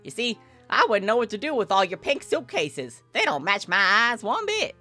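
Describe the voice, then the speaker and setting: A woman's voice: medium pitch, very animated, moderate pace, bright, forceful tone, faint music behind. A woman voicing a cheerful, hearty male farmer with a folksy country drawl in a visual novel read-aloud. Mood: jolly, teasing.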